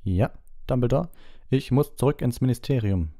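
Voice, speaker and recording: A man's voice: mid-range voice, expressive, normal speed, mellow, voice pushed up, natural voice. Man acting a stern elderly official, raised voice. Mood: impatient, weary. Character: weary elderly male ministry official, stern and formal.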